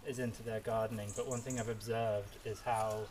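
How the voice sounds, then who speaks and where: A man speaking thoughtfully in casual chat, halting and repeating words as he gathers his thoughts. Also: fairly low pitch, level tone, a little mellow, a little hiss or hum. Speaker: podcast guest. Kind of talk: casual chat while gardening.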